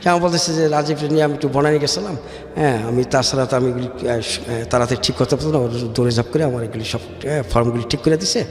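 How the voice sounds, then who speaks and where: Halting Bangladeshi man in heavy echo. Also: medium pitch. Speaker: guest telling his life story. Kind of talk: personal storytelling in a radio interview. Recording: echoey hall-like reverb with music. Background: music.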